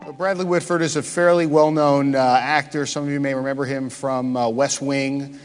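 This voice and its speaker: A man speaks at a conference, his mid-range voice trailing into long hall reverb. He pauses often and stumbles through fillers as he walks the audience through slides. The sound carries the wash of a big room. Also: medium pitch, flat delivery, soft background music. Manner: halting, many "uh" fillers. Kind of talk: conference presentation.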